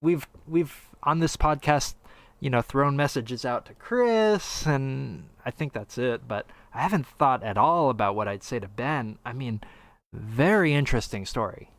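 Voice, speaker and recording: A man's voice: medium pitch, expressive, measured pace, fairly bright tone, dry recording. A man co-hosting a podcast in casual chat, who talks in a conversational, mildly skeptical way and reads out live chat. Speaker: podcast co-host.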